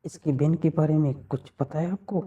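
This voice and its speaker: Muffled, dark-voiced man, teasing. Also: fairly high pitch, fairly even intonation, brisk, husky. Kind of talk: casual intimate phone conversation. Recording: muffled, distant phone call recording.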